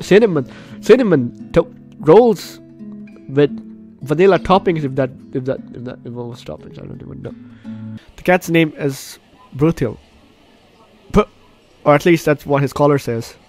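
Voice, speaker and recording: Man reading game text, stumbling, over music. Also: fairly high pitch. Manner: stumbling reader, repeats and corrects words. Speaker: gaming video host.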